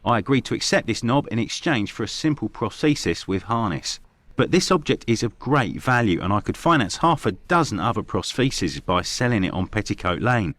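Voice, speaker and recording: An actor playing a frank, measured Victorian clinic doctor in a video game, formal and matter-of-fact. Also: male voice, fairly low pitch, big pitch swings, a bit bright, no room sound. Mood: frank, reasonable.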